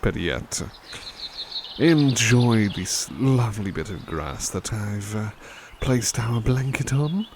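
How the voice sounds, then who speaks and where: A man voicing a doting butler in a soft-spoken ASMR roleplay, talking gently and soothingly with hesitant restarts. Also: lowish voice, expressive, takes it slowly, background noise.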